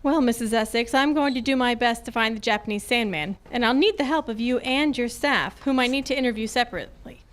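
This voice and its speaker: A woman's voice: on the high side, a bit bright, close to an everyday voice. A woman acting a sassy, wry female private detective in an old-time radio detective drama. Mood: brisk, wry. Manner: wry, brisk, teasing. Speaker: amateur radio club performer.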